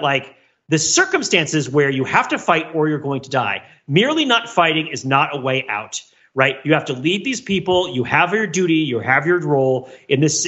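An animated man with a bright, forceful voice works through an argument as a podcast co-host. His casual, discursive talk swings widely in pitch and often lands on a questioning "right?" The audio is slightly dull.

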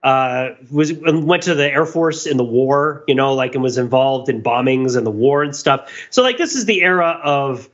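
A man's voice: medium pitch, moderate pace, hardly any pauses, highs cut off. A man co-hosting a podcast, talking through his analysis in a casual, rambling, self-interrupting way with wry asides. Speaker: podcast co-host.

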